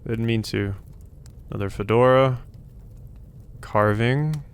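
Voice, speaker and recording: A man's voice: medium pitch, takes it slowly, clear, resonant tone. A man giving casual let's play commentary, musing aloud in a wry way as he goes through a video game.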